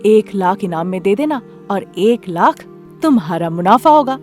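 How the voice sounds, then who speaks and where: A woman's voice: medium pitch, expressive, moderate pace, a little mellow, faint music behind, close to an everyday voice. Woman as a shrewd, persuasive young friend. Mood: knowing, persuasive. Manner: matter-of-fact, persuasive. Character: shrewd young female friend giving money-making advice.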